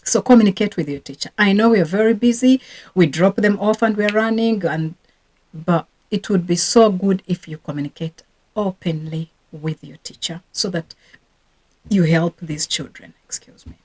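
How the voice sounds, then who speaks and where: A woman's voice: fairly low pitch, normal speed, darkish tone, breathy edge, low-quality recording. A woman from Rwanda, a teacher and parenting coach, talking casually and earnestly to camera about her own parenting regrets. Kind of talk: casual advice talk to camera.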